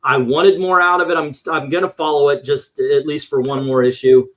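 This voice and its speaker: A man's voice: a little high, steady tone, fairly quick, a bit bright. A man reviewing comic books in casual, opinionated panel chat, rambling and swearing, acting out his exasperated reactions.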